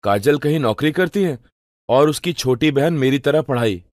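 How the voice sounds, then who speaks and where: A man's voice: a little high, animated, moderate pace, a little mellow, dry recording, studio quality, natural voice. A man playing a helpful young student brother, eager and polite, in storybook cartoon dialogue. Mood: concerned.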